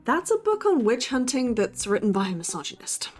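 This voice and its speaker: A woman's voice: a little high, big pitch swings, brisk, soft background music. A woman playing a sceptical, dry-witted young student podcaster in an audio drama. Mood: sardonic. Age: young adult. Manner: sardonic, dry. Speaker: podcast co-host character. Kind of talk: conversational audio drama acting.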